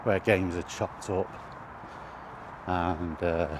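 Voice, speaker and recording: A British man giving rambling, casual commentary while walking outdoors, halting and thinking aloud as he goes. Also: low-pitched, fairly even intonation. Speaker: video host. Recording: outdoor ambient noise throughout.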